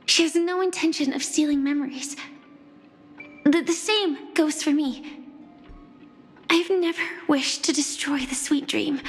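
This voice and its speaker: A sweetly high-pitched girl from a game, talks politely and earnestly. Her gentle manner has a quiet resolve, and her words ring in long reverb over music. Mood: apologetic, earnest. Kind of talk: video game character dialogue.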